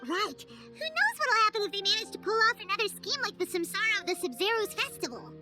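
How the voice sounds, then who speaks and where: Game casting: tiny fairy sidekick, extremely high squeaky child voice, excitable, chatty, swooping intonation. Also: female voice, a little fast, loud music behind the voice. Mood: chatty, sheepish. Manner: perky, expressive, refers to herself by name. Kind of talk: scripted video game voice acting.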